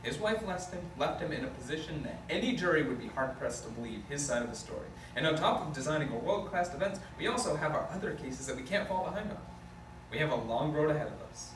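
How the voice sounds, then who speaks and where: A man performs a bossy but hopeful lawyer at a table read, with a slightly high voice. The sound is roomy, with clear background noise. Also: lively intonation, fast, lightly acted. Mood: rousing. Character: earnest male lawyer, bossy, hopeful, given to speeches. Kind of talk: amateur script table read. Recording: distant, echoey, noisy room.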